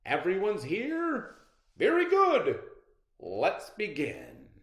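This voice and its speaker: Man putting on a booming, swooping convention announcer. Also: wildly swooping pitch, slow pace, fairly bright tone, reverberant, exaggerated voice. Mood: brisk, grand. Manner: grand, theatrical announcing. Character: pompous, booming convention host welcoming young inventors.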